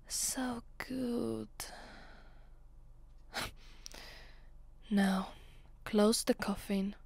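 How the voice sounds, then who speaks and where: A woman's voice: mid-range voice, gravelly, darkish tone, no room sound, heavily acted. A woman with an Italian accent playing a haughty, possessive vampire mistress in an ASMR roleplay, condescending and teasing, often dropping to a whisper. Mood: haughty, seductive.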